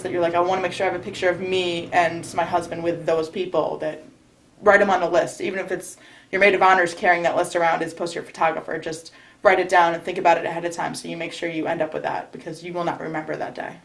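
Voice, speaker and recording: A woman's voice: fairly low pitch, a little hiss or hum, low-quality recording. A young woman, a recent bride from New York state, giving casual interview advice in a chatty way with frequent restarts. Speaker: recently married bride, guest.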